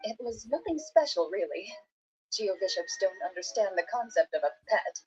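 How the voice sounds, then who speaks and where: A woman's voice: medium pitch, very animated, moderate pace, darkish tone, close to an everyday voice. A woman playing a composed, matter-of-fact female fighter in a fantasy video game. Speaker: game character. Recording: muffled game audio, faint noise.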